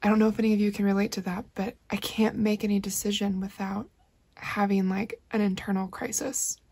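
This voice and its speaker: A woman's voice: medium pitch, little pitch movement, brisk, darkish tone, slightly rough. A young woman vlogging casually in a small wooden cabin, chatty and self-reflective, often laughing as she talks. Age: young adult. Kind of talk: casual vlog chat.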